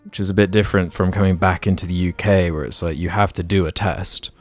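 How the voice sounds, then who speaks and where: A man's voice: deep voice, steady tone, quick pace, faint music behind. A young man co-hosting a podcast, rambling casually with lots of filler words and the odd swear. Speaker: podcast co-host.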